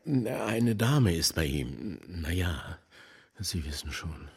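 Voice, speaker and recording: A man's voice: very animated, slow pace, full character voice. A male actor playing an evasive, gossipy newspaper doorkeeper in a German radio drama, hesitant and muttering. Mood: evasive, confiding. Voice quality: husky, low.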